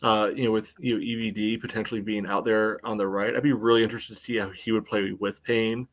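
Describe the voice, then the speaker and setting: A man co-hosting a sports podcast, giving casual analysis in a halting, rambling way padded with filler phrases. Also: on the low side, little pitch movement. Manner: halting, rambling, very frequent "you know". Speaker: podcast co-host.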